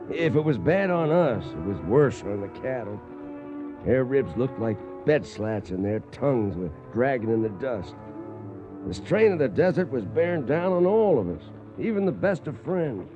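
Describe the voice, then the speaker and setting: A man's voice: mid-range voice, natural voice. A man acting a cowboy who narrates a cattle drive in a scripted western radio drama. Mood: grave. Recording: muffled radio broadcast with music behind.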